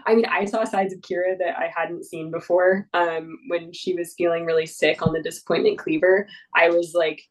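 Low-voiced woman, a bit off-mic. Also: fairly even intonation, moderate pace, few pauses, far from the mic, rough recording. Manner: laughs easily, many fillers and restarts. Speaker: mountain guide, guest. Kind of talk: casual interview talk.

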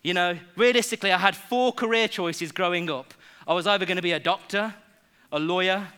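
Bright, high-voiced preacher. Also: male voice, fairly quick, clear, resonant tone. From England. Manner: lively, jokes with the congregation. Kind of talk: sermon.

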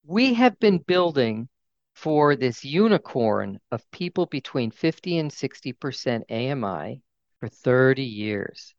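A man's voice: mid-range voice, big pitch swings, measured pace, no echo. A man speaking as an interviewed executive, in spoken interview style on a lo-fi recording. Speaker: housing developer executive being interviewed. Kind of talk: interview talk.

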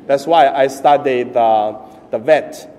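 Slow, mellow-voiced priest with Chinese accent. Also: male voice, mid-range voice, long reverb tail, slight background noise. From mainland China. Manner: halting, broken English with pauses and fillers. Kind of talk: Sunday homily.

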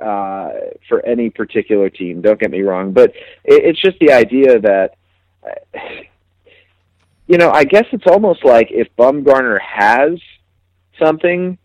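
Reflective low-voiced man talking sports. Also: a little mellow, no room sound, highs cut off. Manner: halting, reflective, repeats words while thinking. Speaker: sports journalist guest. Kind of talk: casual sports analysis.

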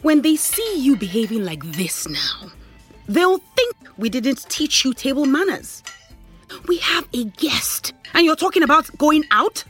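Nagging Nigerian mother, very animated voice. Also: high-pitched, a little slow, faint music behind, exaggerated voice. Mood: scolding. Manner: scolding, probing, emphatic. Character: strict, proud, meddling middle-aged mother. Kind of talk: audio drama acting.

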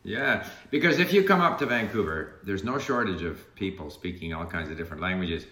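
An older man with a lowish voice talks in a relaxed, rambling way, circling back and restarting his thoughts. His voice is bright and a little tense. It rings in an echoey room. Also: a little fast. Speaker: language learner being interviewed. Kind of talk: casual conversation.

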